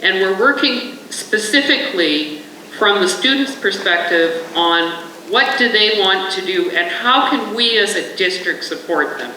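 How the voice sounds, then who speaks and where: A woman's voice: mid-range voice, animated, unhurried, bright, forceful tone, slight background noise. A woman, a school trustee, making an earnest, rambling point from the audience at a panel. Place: large conference hall. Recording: echoey hall, mic from a distance.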